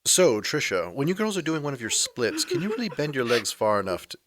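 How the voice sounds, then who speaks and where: A man's voice: medium pitch, sing-song, brisk, a bit bright, a little hiss or hum. Sardonic, swooping immortal rogue played by a man. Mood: irritated. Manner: sardonic, flirtatious, theatrically indignant. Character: snarky, womanizing, bloodthirsty centuries-old male supernatural being. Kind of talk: audio drama acting.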